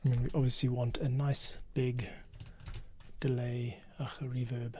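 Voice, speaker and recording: A man's voice: mid-range voice, flat delivery, measured pace, noisy background. A man casually talking through his work as an audio editor, muttering to himself as he goes. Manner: thinking aloud, muttering to himself. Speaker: podcast editor. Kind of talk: casual behind-the-scenes commentary while editing audio.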